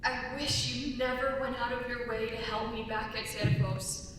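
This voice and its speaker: A woman's voice: flat delivery, normal speed, natural voice. A young woman playing an earnest, wounded outcast in a staged reading, emotional and pleading. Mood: hurt, regretful. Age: young adult. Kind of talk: staged dramatic script reading. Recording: very echoey hall, distant mic, hiss and rumble.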